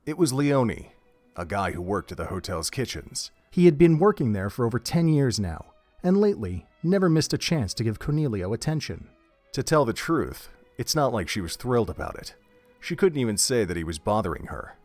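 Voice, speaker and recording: A man's voice: mid-range voice, big pitch swings, moderate pace, darkish tone, dry recording, faint music behind. A man reading scripted narration for an audio drama, telling the story in prose.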